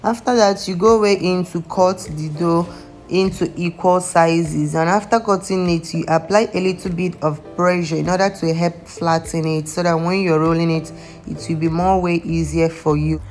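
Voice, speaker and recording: A woman's voice: on the low side, fairly even intonation, moderate pace. Nigerian woman narrating a cooking tutorial. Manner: friendly step-by-step instruction with some non-standard phrasing. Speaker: cooking channel host. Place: home kitchen. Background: soft background music.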